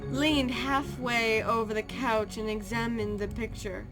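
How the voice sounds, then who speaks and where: A woman narrating a story aloud in an amateur, halting way, correcting misread words as she goes. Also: on the high side, fairly even intonation, over music.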